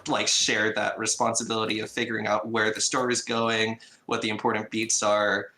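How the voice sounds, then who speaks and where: Bright-voiced man in an echoey room. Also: lowish voice, level tone, moderate pace. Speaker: game director and animator. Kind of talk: casual group interview chat.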